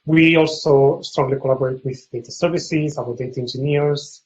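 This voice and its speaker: A man's voice: a little high, steady tone, moderate pace, darkish tone, a little hiss or hum. Male panelist on slightly muffled audio. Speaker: data science lead, panelist. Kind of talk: professional self-introduction on a panel.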